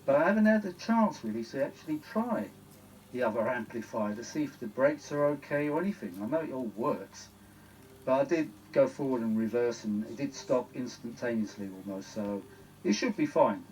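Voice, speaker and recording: A man's voice: medium pitch, lively intonation, normal speed, darkish tone. A British man giving a casual, rambling spoken demonstration as a repair vlogger, chatty and given to exclamations. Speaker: vintage audio repair vlogger. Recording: noisy recording with clear background noise.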